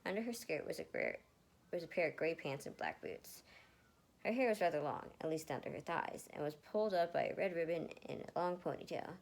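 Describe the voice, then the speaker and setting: Lower-pitched woman reading a story aloud. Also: animated, brisk, creaky, darkish tone. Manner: stumbles over words and rereads. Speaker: reader of fan fiction. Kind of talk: amateur story reading with casual asides.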